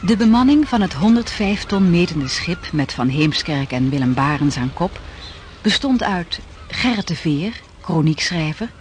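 High-pitched, deliberate narrator. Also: male voice, very animated, slight background noise. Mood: measured. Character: formal narrator of a seafaring history. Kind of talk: scripted radio drama narration.

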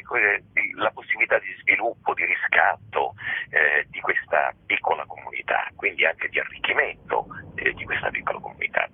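Low husky guy on a tinny phone line, working out his words as he goes. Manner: thoughtful, searching for words while explaining. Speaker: guest, theatre director and actor. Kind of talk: radio interview.

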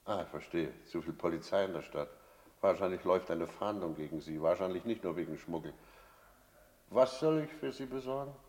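A man plays a sly, talkative innkeeper in a radio play. His voice sits low, and he speaks with pointed, deliberate emphasis. He is middle-aged and wry, a storyteller who likes a shrewd deal. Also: darkish tone, natural voice. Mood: bargaining. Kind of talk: German radio play acting and first-person narration.

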